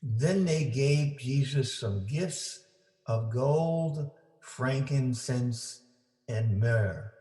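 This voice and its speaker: Slow-reading male storyteller in a roomy space. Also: medium pitch, lively intonation, mellow. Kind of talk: read-aloud children's Christmas story. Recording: roomy, echoing indoor sound.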